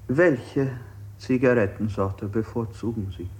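An actor playing a calm, insistent questioner in a stylized 1960s radio play, with formal, measured delivery. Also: male voice, medium pitch, very noisy, natural voice.

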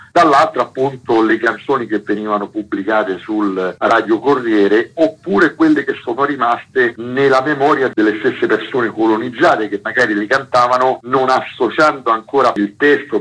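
A man's voice: fairly low pitch, a bit bright, breathy edge, low-quality recording. A man being interviewed as an author, explaining things in a radio interview style.

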